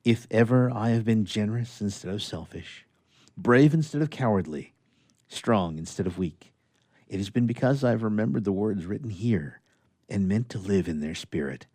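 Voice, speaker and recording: Earnest lovestruck doctor, solemn and halting, mellow voice, radio play, dry close sound. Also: male voice, lowish voice, expressive, dry recording. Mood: solemn, grateful. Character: earnest, honest, half-awkward young doctor in love. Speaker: radio theatre actor. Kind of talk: dramatic reading in radio theatre.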